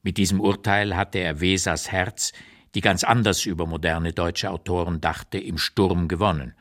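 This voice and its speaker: A man reading a first-person literary memoir for radio in an expressive, articulate storytelling manner. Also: deep voice. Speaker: literary reader narrating a writer's memoir.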